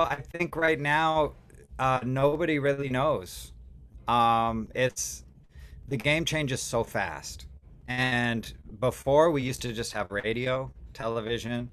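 A man's voice: mid-range voice, fairly bright tone. A man speaking casually and at length as a guest music producer, with slangy, talkative phrasing. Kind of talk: casual podcast chat.

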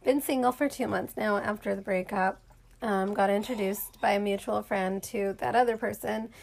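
Woman, 30s, co-host, casual storyteller, fry in the voice. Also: medium pitch, moderate pace. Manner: chatty storyteller, lots of "like". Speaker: podcast co-host. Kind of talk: casual chat.

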